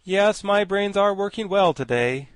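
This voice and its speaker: A man's voice: fairly high pitch, very animated, normal speed, no echo, studio quality, pitched above the performer's own voice. A man doing a genial, vain scarecrow. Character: proud straw-stuffed male scarecrow.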